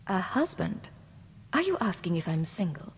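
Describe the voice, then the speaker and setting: A cool female intelligence agent in a game, pitched slightly low. She is self-assured, composed and wry. Long reverb and faint noise surround the voice. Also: very animated, moderate pace. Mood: wry, teasing. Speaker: game player character. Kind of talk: scripted game dialogue acting.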